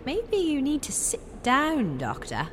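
A woman's voice: high voice, huge pitch swings, slow pace, a little hiss or hum, exaggerated voice. A woman playing a sceptical, down-to-earth adventurer's companion in a radio adventure drama, plain-spoken and cautious. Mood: wry, doubtful. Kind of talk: old-time radio adventure acting.

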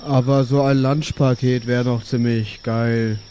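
A man's voice: mid-range voice, little pitch movement, unhurried, dark tone, slight background noise. A man talking casually as a player in an improvised tabletop role-playing game.

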